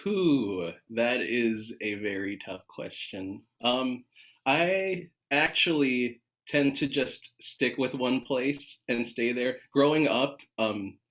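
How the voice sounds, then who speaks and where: A man from California speaks with an American accent at a middling pitch. He is a choir conductor in a casual, conversational exchange. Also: slow pace, fairly bright tone. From San Diego, California, United States.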